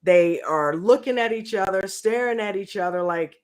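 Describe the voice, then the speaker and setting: A woman casually reviewing a reality show on a livestream, slangy and animated, acting out quotes in a mocking tone. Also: medium pitch, normal speed, clean tone. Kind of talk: casual reaction livestream chat.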